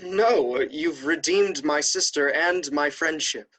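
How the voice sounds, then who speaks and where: A performer acts out a proud young nobleman of Restoration comedy, the domineering brother. The mid-pitched voice is formal and imperious, with the measured phrasing of the stage. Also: a bit bright, low-quality recording. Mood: formal, resolved. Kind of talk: theatrical Restoration play acting.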